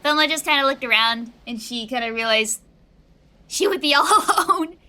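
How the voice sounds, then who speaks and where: A woman's voice: sits high, normal speed, pushed and bright. A woman playing a tabletop game, narrating casually as she improvises.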